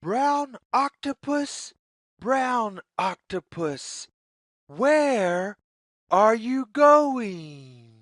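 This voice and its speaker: A woman reading a children's story aloud in a deliberate, singsong way, as if inviting young listeners to follow along. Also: on the high side, very animated, no echo, studio quality. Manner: deliberate, singsong, repetitive phrasing.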